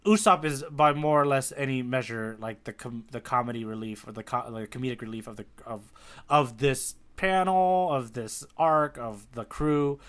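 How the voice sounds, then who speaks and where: A man's voice: mid-range voice, normal speed, a bit bright, clear, resonant tone. A man co-hosting a podcast in casual chat, enthusiastic and slangy. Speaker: podcast co-host. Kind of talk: casual chat about manga.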